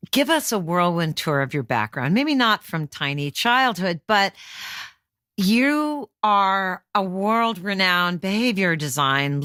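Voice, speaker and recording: A woman's voice: fairly low pitch, a bit bright, no echo, clean studio sound. A woman hosting a conversational interview, asking questions and chatting with her guest. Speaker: interview host.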